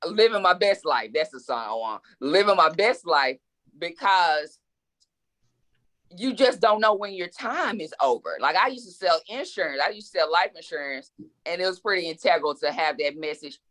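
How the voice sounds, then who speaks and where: A woman's voice: on the low side, lively intonation, normal speed, fairly bright tone. A woman in her forties, a guest author in a casual interview, speaking reflectively and with emphasis, often repeating words as she thinks. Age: 40s.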